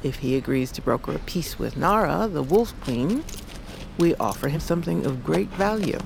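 Low-voiced, solemn old grandmother. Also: lively intonation, vocal fry, background noise, full character voice. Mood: grave, measured. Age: older. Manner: solemn, deliberate, commanding. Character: fierce, proud old grandmother, poison master of a fantasy tribe. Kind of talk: audio fiction drama acting. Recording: loud music behind the voice.

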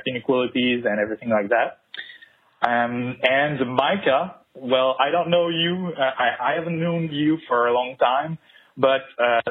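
Halting French-accented man on a phone line. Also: mid-range voice, husky. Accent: Québécois French. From Quebec, Canada. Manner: halting, many filler sounds, sincere. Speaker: caller. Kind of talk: recorded voicemail message.

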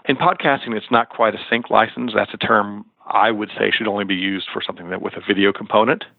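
Deep-voiced man on a phone line. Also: steady tone, quick pace, vocal fry, no echo. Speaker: lawyer, interview guest. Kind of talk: conversational expert interview.

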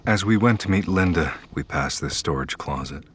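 A man's voice: deep voice, expressive, normal speed, fairly bright tone, slight background noise. An actor playing a weary, haunted man who narrates his ordeal in the first person for an audio drama. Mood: weary, tense. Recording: music under the voice.

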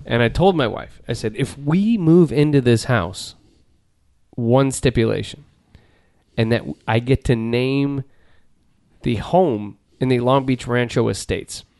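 Middle-aged male host, deadpan and rambling, dry close sound, garage podcast. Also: mid-range voice, a little slow, no echo. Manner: rambling, deadpan, trails off and restarts. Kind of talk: casual joking banter. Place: home garage studio.

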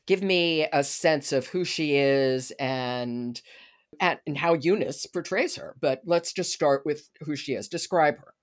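A woman's voice: sits low, a little slow. A woman hosting a podcast in a conversational interview style. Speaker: podcast host.

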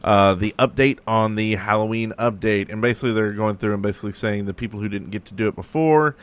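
A man's voice: fairly low pitch, quick pace, smooth. A man co-hosting a gaming podcast, chatting informally about news with a joking manner. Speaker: podcast co-host. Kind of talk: casual group chat about gaming news.

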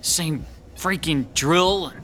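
A man's voice: a little high, extremely slow, fairly bright tone, soft background music. A man playing an exasperated young husband in a radio drama, weary and sardonic. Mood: weary, fed up. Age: young adult.

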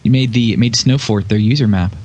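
A man's voice: lowish voice, brisk, a little mellow, breathy edge. A man chatting casually as a podcast panelist, with a joking, self-deprecating manner. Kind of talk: casual chat.

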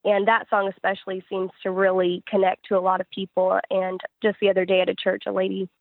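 A woman's voice: mid-range voice, completely flat delivery, brisk, creaky, no room sound. A woman singer-songwriter giving conversational answers in an interview, recorded with muffled, band-limited sound.

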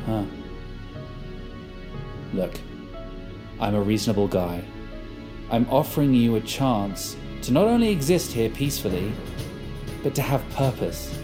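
An actor playing a smug, controlling leader in an audio drama, coaxing and condescending in a falsely reasonable way. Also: male voice, mid-range voice, lightly acted.

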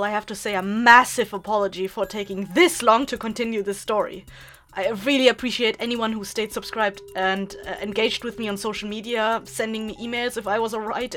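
A woman's voice: on the high side, fairly bright tone. A woman podcast host giving a scripted-sounding update directly to her listeners.

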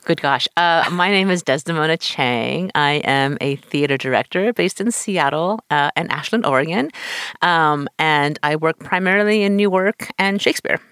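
A woman in her thirties, a theater director from Southern California, chatting as an interview guest, animated and quick to laugh. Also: sits low, clear, resonant tone, no room sound, clean studio sound. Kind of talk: casual interview chat. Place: recording studio.

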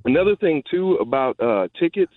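A middle-aged man calling a radio show, recalling casually in halting, hesitant phrases. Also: on the high side, big pitch swings, no echo. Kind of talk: casual recollection. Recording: tinny narrowband phone line.

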